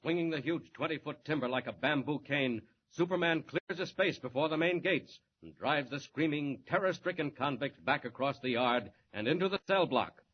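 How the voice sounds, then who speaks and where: A man's voice: medium pitch, a little hiss or hum. A man narrating and announcing a 1940s radio serial in a declamatory, suspenseful style, on a lo-fi 1940s radio transcription.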